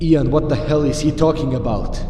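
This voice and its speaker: A man's voice: a little high, normal speed, recorded from a distance, background noise, natural voice. A man playing a determined, protective wasteland rescuer in a game roleplay, urgent and earnest. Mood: pleading. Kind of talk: amateur video game roleplay acting. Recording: echoey hall reverb, faint music.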